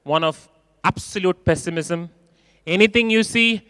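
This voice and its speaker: A man giving a keynote talk in a large conference hall, expressive and acting out quoted voices, repeating phrases for emphasis. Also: sits high, a little slow, smooth, clean studio sound. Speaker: keynote speaker.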